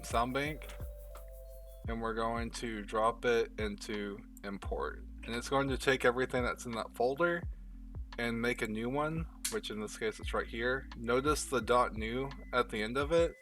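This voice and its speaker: A man narrating a step-by-step software tutorial in a casual, informal way, talking through each step as he does it. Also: medium pitch, recorded from a distance. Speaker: tutorial host. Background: background music.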